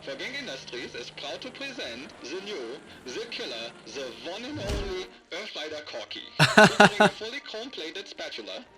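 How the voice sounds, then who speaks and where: An actor playing a showy salesman in a parody game commercial, with a theatrical, grandiose sales pitch. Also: big pitch swings, moderate pace, hardly any pauses, poor audio. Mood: showy, salesy. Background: music.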